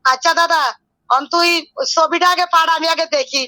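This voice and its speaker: A woman, a self-styled tantric healer who takes a call and gives brisk, commanding orders. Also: very high voice, fairly even intonation, bright, forceful tone. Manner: brisk and commanding, talks down to the caller. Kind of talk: phone consultation.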